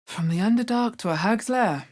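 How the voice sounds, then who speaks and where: An actress voicing a guarded, practical female adventurer in a video game, with a husky voice and dry, understated delivery. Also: mid-range voice, moderate pace, a little mellow, natural voice. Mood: wry, focused. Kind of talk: video game character voice lines.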